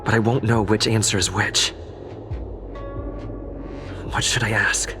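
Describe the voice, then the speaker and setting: Man voicing young game hero guy, kinda low voice, puzzled, thinking to himself, music under it. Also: fairly even intonation, unhurried, close to an everyday voice. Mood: puzzled, musing. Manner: musing, questioning inner monologue. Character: young man protagonist, wary, puzzled, inwardly reasoning. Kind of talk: video game voice acting. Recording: music behind the voice. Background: game soundtrack music.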